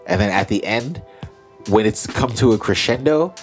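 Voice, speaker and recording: A man's voice: on the low side, moderate pace, faint music behind. A man co-hosting a podcast in casual chat, making his points with emphasis and questions. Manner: animated, argues with emphasis, asks questions. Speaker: podcast co-host.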